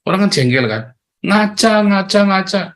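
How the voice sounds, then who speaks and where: A middle-aged man from Tegal with a Tegal accent, giving a lively motivational talk online, speaking straight to his listeners and acting out the voices he quotes. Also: high-pitched, normal speed. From Tegal, Central Java, Indonesia. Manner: animated, addresses listeners directly, acts out quoted voices, chuckles often.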